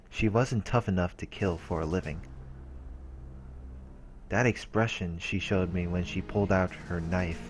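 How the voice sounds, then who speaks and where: A man with a low-pitched voice hosts a let's play, reading story text aloud and adding casual remarks. He sometimes trips over and repeats words, with game music behind him.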